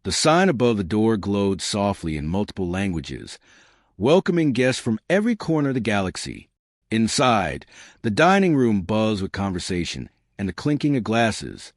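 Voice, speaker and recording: Lively low-voiced male storyteller. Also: moderate pace, no room sound, clean studio sound. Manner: lively storytelling, shifting pitch for quoted characters. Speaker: narrator. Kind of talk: scripted story narration.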